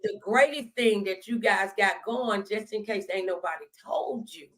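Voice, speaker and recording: A woman's voice: mid-range voice, moderate pace. An American woman hosting a conversational faith interview, speaking in an emphatic, affirming, folksy way. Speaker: talk show host.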